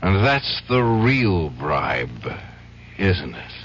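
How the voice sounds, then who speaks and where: A man's voice: sits low, sing-song, very slow, exaggerated voice. Bitter, brooding drunk man, swinging intonation. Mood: bitter, quiet. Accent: American. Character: troubled, hard-drinking lawyer, guilt-ridden and bitter. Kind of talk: old-time radio drama acting. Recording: dull, faintly noisy old radio recording.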